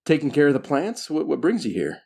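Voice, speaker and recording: A man's voice: fairly high pitch, big pitch swings, a little fast, gravelly, dark tone, voice pushed up, exaggerated voice. Man playing a nosy, affable fake janitor. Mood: falsely friendly. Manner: polite, feigned small talk.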